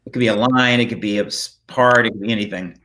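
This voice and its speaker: A man casually hosting a panel chat, speaking in a halting, informal way. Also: on the low side, steady tone, sharp tone. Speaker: host.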